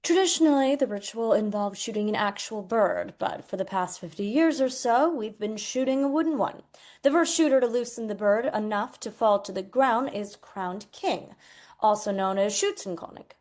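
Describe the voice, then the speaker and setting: A woman's voice: medium pitch, moderate pace, a little mellow, natural voice. A woman playing an Austrian festival local who formally explains tradition in a comedy table read. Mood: explanatory.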